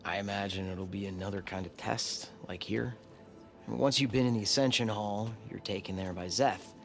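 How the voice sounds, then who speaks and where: A man's voice: lowish voice, moderate pace, faint music behind, lightly acted. A man playing an anxious, regretful follower of a cult in a video game, hesitant and subdued. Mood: wary. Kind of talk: naturalistic video game cutscene acting.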